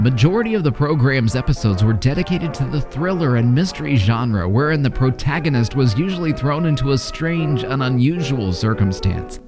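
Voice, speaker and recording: Male narrator over clear background music. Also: medium pitch. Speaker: host and narrator. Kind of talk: scripted narration.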